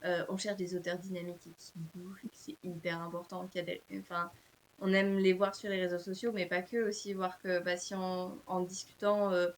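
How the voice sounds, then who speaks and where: A woman's voice: on the low side, level tone, brisk. Hesitant woman publisher. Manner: hesitant, many fillers. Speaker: publisher and author. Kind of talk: casual interview answers.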